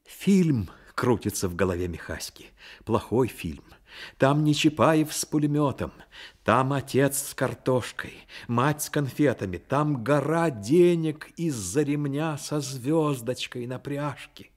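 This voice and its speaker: A man's voice: mid-range voice, unhurried. A man reading scripted narration as the storyteller of a radio drama. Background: music.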